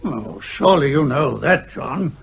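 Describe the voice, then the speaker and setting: Blustering old British major, slightly high-voiced. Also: male voice, lively intonation, unhurried, creaky, a little hiss or hum. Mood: hearty, genial. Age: older. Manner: pompous, hearty, blustering. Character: blustering, genial old retired major, father who despises lawyers. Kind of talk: old-fashioned radio comedy acting.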